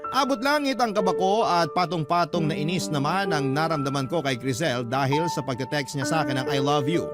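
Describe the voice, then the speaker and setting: A man with a Filipino accent narrating a scripted first-person radio story in a confiding storytelling way. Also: a little high. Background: prominent music.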